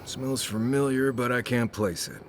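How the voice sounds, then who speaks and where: A man's voice: medium pitch, steady tone, moderate pace, a little hiss or hum, lightly acted. An actor playing a plain-spoken, seasoned male tracker companion in a video game. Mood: musing, matter-of-fact.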